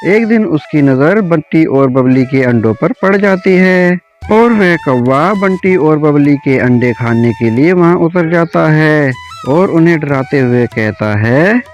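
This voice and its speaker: A man's voice: a little high, expressive, takes it slowly, few pauses, highs cut off. A man narrating a scripted animal story for children as a storyteller. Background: soft background music.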